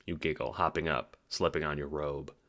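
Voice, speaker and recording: A man narrating a scripted second-person story as an audiobook, with theatrical, expressive delivery. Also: extremely low pitch, moderate pace.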